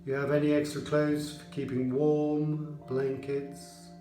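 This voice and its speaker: Man, yoga nidra instructor, soft mellow tone, measured soothing phrasing, roomy echo, music underneath. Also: on the high side, little pitch movement. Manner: measured, soothing delivery with pauses. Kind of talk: guided relaxation meditation narration.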